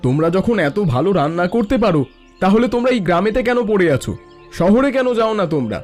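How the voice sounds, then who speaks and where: A man's voice: high voice, sing-song, brisk, a little mellow, soft background music. A man voicing a friendly, confident young visitor from the city in a cartoon. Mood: friendly, generous. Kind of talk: Bengali cartoon voice acting.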